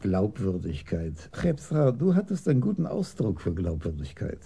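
An actor playing a methodical, commanding police chief in a German radio crime drama, speaking in a deliberate, formal way. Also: male voice, fairly low pitch, wildly swooping pitch, gravelly, warm tone, slightly rough, full character voice. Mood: deliberate, probing.

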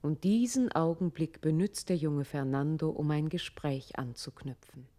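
Low, mellow female radio narrator. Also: moderate pace. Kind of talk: scripted literary radio play narration.

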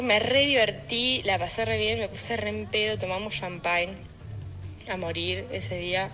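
A woman's voice: lowish voice, bright, forceful tone. A woman with an Argentine Rioplatense accent telling a casual personal anecdote in a voice message. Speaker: listener sending a voice message. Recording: noisy voice message with ambient sound.

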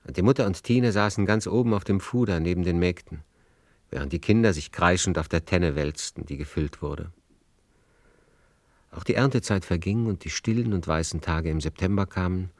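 A man narrating a literary radio play as a measured storyteller. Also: low voice, a little mellow, no room sound.

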